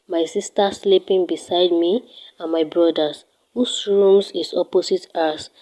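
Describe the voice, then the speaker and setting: A teenage girl with a Nigerian accent, narrating a tour of her home and her daily routine in a careful, measured way. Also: fairly low pitch, mellow, rough recording. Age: teens. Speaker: student showing her home.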